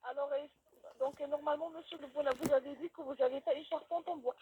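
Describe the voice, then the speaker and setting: A woman's voice: sits high, fairly even intonation, quick pace. A woman making a polite, formal telephone sales call, asking questions from a script over a phone line. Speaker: telemarketer, home inspection cold caller. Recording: dull noisy phone line.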